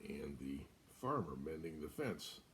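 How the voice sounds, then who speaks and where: An older American man reading a children's picture book aloud, deliberate and expressive, with pauses. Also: rumbling low, a little hiss or hum. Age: older, about 70s. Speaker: guest storyteller. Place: small room at home, indoors.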